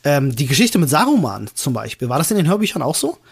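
A male podcast host with a somewhat high voice. He talks casually and wanders through his explanations, piling clause onto clause in an informal chat style. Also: very animated, quick pace, gravelly, slightly rough.

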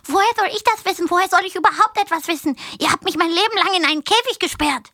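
A put-upon pet hamster, put on by a woman, squeaks out complaints in a high, piercing voice. The tone is bright and sharp, cheeky and sulking. It sounds like a cartoon pet griping about its cage. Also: fast talker, dry recording, studio quality, exaggerated voice. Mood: indignant.

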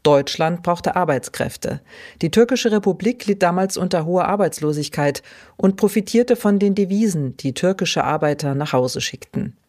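A woman hosting a podcast, reading scripted narration in a clear, even way. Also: lowish voice, normal speed. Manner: clear, even read-aloud delivery. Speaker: podcast host.

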